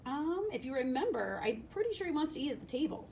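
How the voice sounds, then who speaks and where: A female teacher with a fairly high voice leads a lesson for young children. She asks questions expressively and sounds a little away from the mic, over faint noise. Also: big pitch swings, quick pace, creaky, darkish tone. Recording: slightly distant mic, faint rumbling noise.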